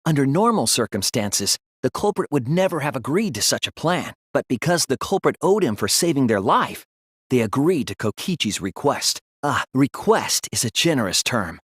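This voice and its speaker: A young male detective in a dramatic anime video game. The voice is a little breathy and recorded close and dry, with lively, wide-ranging pitch. He sounds earnest and unsure at times, then argues with real force. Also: moderate pace, dry recording. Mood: grave, explaining. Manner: earnest, hesitant, turning forceful when arguing. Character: earnest teenage boy detective, hesitant but determined.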